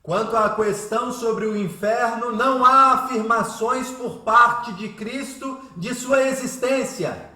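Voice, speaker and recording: A man playing a composed, devout teacher who speaks with gentle, reasoned oratory in a radio drama. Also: extremely high pitch, slow pace, roomy sound, exaggerated voice. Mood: firm, reasoning. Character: composed, devout, soft-spoken Spiritist teacher, man about thirty.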